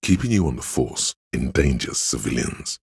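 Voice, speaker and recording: An actor playing a grave, all-knowing narrator in a video game, delivering literary police drama in a solemn, deliberate way with a gravelly, husky voice. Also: male voice, rumbling low, sing-song, no room sound, clean studio sound, full character voice. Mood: solemn, brooding. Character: grave, omniscient male narrator voice of police camaraderie.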